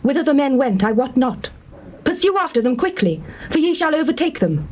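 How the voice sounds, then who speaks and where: A high, earnest female voice for a woman of Jericho in an audio Bible drama. She pleads with solemn resolve in formal, archaic phrasing. Faint noise sits behind her. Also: sing-song, fairly quick, a little mellow. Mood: urgent. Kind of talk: dramatized King James Bible acting. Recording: faint background noise, ambient sound.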